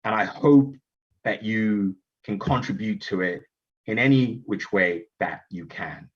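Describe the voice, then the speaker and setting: Deep husky guy from zimbabwe opening an online summit, earnest, kinda dull lo-fi stream audio. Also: measured pace. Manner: earnest, emphatic, with fillers and restarts. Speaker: event host. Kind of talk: virtual summit welcome address. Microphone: virtual conference stream.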